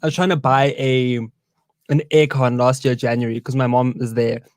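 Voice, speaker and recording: A man's voice: medium pitch, steady tone, moderate pace, smooth, dry recording, clean studio sound. A young man from South Africa co-hosting a casual live-stream chat over a meal, playful and talkative. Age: young adult. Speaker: co-host.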